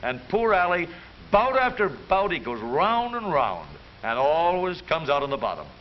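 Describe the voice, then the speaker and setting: A man's voice: fairly high pitch, big pitch swings, moderate pace. An American man narrating a 1930s newsreel from a script, with a jaunty, theatrical, wisecracking delivery. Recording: muffled, hissy 1930s newsreel soundtrack.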